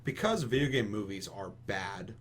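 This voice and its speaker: A man's voice: on the low side, animated, normal speed, a little hiss or hum. A young American man hosting a casual podcast discussion, giving opinions emphatically with lots of casual swearing. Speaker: podcast host.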